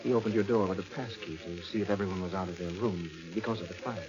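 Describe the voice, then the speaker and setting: A man playing a gentle, concerned bedside attendant, grave and soothing, in 1940s radio style. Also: low voice, fast, close to an everyday voice. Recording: muffled old radio recording.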